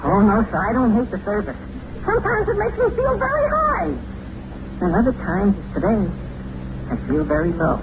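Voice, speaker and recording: A man's voice: sits high, moderate pace, lo-fi sound, heavily acted. An actor playing a dreamy, impulsive young elevator boy with a plaintive, hesitant manner in an old-time radio comedy. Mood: sheepish, wistful. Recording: noisy old radio recording, steady hiss.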